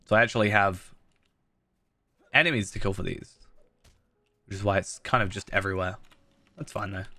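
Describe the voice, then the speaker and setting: Bright-voiced man, stuffy nose. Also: lowish voice, normal speed, no room sound. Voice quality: slightly nasal. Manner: chatty, thinking aloud with many fillers and restarts. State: blocked nose. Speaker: game streamer. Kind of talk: casual live-stream commentary while playing.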